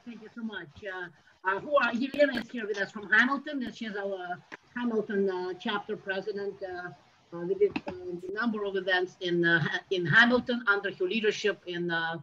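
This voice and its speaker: A woman hosts an online meeting and introduces her guests. She speaks in a halting way, full of filler sounds. Her audio comes through a muffled, lo-fi video call line. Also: medium pitch, slight background noise. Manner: halting, many filler sounds, soft-spoken. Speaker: meeting host introducing board members.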